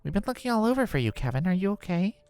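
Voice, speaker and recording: A woman voicing an emotional, caring schoolgirl in a visual novel, earnest and expressive. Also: mid-range voice, fairly quick, vocal fry, faint music behind, natural voice. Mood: relieved, worried. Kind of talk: live visual novel voice acting.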